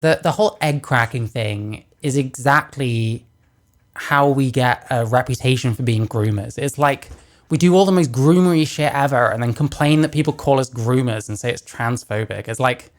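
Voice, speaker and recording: A woman hosting a reaction video, chatting casually between clips and often trailing off into quiet asides. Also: a bass voice, normal speed. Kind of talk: casual commentary over clips.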